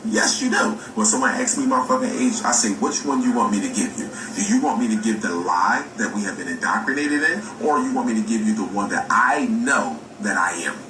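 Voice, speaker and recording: A man's voice: lowish voice, lively intonation, brisk, hardly any pauses. A man in his forties giving an impassioned, unscripted, preachy talk, emphatic and repetitive, swearing as he challenges the listener. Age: 40s. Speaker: speaker on a spiritual talk video. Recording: echoey, distant mic with music behind.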